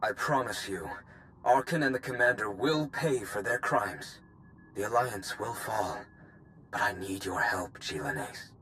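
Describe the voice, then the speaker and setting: A man's voice: on the low side, expressive, unhurried, a bit bright, slight background noise. A man playing an intense, vengeful conspirator in a dramatic video game cutscene. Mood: vowing revenge. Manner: intense, resolute. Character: vengeful, zealous male conspirator villain.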